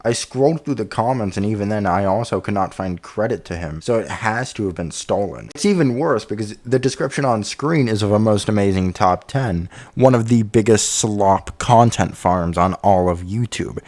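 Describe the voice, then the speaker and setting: A man's voice: fairly low pitch, normal speed, hardly any pauses, darkish tone. A young man talking casually to viewers, reviewing and ranking videos in a candid, opinionated way with loose fillers. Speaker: YouTube commentator.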